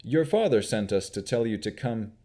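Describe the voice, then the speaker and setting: A man's voice: fairly low pitch, big pitch swings, normal speed, warm tone. A man voicing an earnest, patient new sheriff who wields divine magic.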